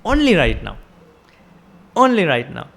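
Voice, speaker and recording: A woman's voice: deep voice, huge pitch swings, a little slow, creaky. A woman with a Sri Lankan accent giving a Buddhist sermon, explaining step by step and asking her listeners rhetorical questions. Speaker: Buddhist teacher.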